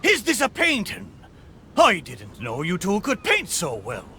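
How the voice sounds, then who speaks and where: Swaggering, high-pitched pirate ship spirit. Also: male voice, huge pitch swings, a little slow, sharp tone, a little hiss or hum, exaggerated voice. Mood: amazed. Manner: hearty, bragging, swaggering pirate talk with "arr". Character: boastful, good-humored spirit of a mighty old pirate ship. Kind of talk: video game character acting.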